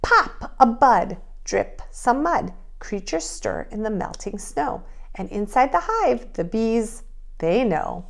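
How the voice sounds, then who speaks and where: Lady doing kids storytime and lessons, super lively pitch, slow pace, bit of creak. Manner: expressive, addresses young children directly. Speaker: preschool teacher. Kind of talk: children's read-aloud and drawing lesson.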